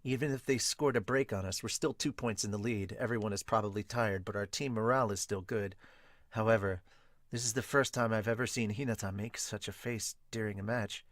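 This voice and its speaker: Man doing a low, brooding young volleyball player. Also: steady tone, a little fast, fairly bright tone, no room sound, close to an everyday voice. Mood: subdued, brooding. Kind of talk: manga dub.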